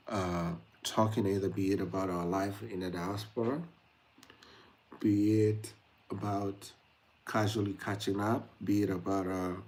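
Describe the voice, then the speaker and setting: Young kenyan-born guy on a late livestream, lowish voice, chatty and loose. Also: dark tone, rough recording. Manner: chatty, addresses viewers directly, frequent "uh" fillers. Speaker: YouTube livestream host. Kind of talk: casual livestream talk to viewers.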